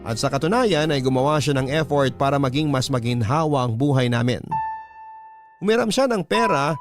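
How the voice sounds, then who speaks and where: A man's voice: mid-range voice, expressive, moderate pace, over music. A man narrating a listener's letter in a dramatic radio storytelling style.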